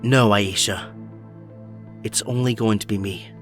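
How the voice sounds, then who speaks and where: A man's voice: on the low side, fairly even intonation, measured pace, a bit bright, faint music behind. A man acting a haunted, stubborn young superhero in an audio drama, earnest and emphatic. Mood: haunted, resolute.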